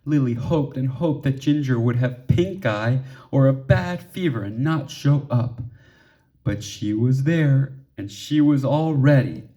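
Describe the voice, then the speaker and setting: A man reading a children's story aloud expressively, with measured pauses and emphasis for drama. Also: medium pitch, dark tone. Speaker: storybook reader.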